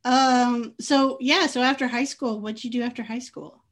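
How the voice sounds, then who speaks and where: A woman's voice: on the high side, steady tone, moderate pace. A woman hosting an interview, chatty, laughing easily and using lots of filler words. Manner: chatty, easy laughter, uses "like" a lot. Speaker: podcast host. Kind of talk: casual interview.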